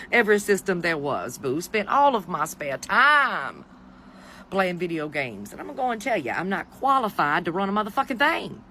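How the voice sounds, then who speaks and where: A middle-aged American commentator with very lively, swooping intonation rants in a sassy, exasperated manner, swearing freely and using pet names. The delivery is informal and opinionated, recorded with some background noise. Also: mid-range voice. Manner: sassy, profane, exasperated, addresses listener as honey and baby. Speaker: commentator giving opinions on the news. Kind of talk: informal opinionated rant to camera.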